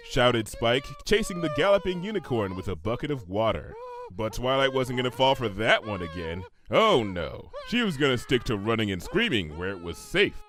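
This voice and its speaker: A man reading a comic story aloud in an expressive, scripted narration style. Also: mid-range voice, no echo. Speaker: narrator, fan fiction reader.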